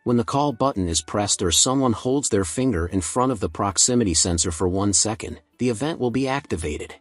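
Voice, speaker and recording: A man reading a scripted software tutorial in a measured, procedural instructional manner. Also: lowish voice, animated, no room sound, faint music behind.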